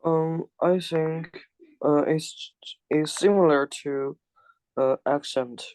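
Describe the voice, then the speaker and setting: A male English student gives short, hesitant answers, filling the gaps with "uh" and "um". His voice is smooth and clear, fairly high, and he speaks slowly with a flat pitch. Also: rough recording.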